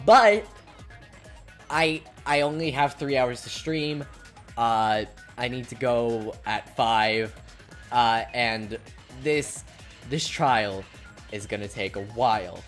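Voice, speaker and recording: A woman streamer chatting casually and a bit self-deprecatingly while playing a game. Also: a bass voice. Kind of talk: casual live-stream commentary. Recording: faint game music behind.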